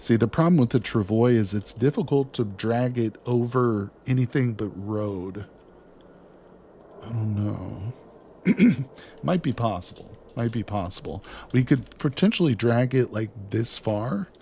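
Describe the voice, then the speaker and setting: A man streaming a video game, chatting excitedly to his viewers as he plays, losing his voice near the end of a long session. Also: mid-range voice, measured pace, a little hiss or hum. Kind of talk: casual gameplay commentary.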